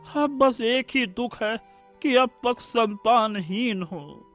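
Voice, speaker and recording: A humble old male disciple in a cartoon tale played by a man. His voice is strikingly high and rather slow, earnest and reverent, with music underneath. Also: fairly bright tone, full character voice. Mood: grateful, wistful. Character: devoted, humble elderly male disciple and son-in-law.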